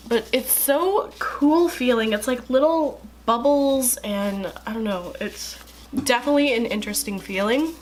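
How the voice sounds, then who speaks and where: A woman's voice: a little high, slight background noise. A young woman with a stuffy, congested voice chatting casually through a skincare review, with lots of likes and ums. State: congested from a cold. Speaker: beauty vlogger.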